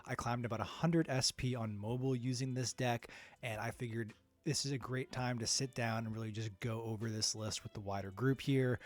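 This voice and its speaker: A man with a nasal voice, stuffy from a cold, giving an upbeat, chatty card game strategy guide with plenty of fillers. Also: medium pitch. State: under the weather, stuffy. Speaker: card game content creator.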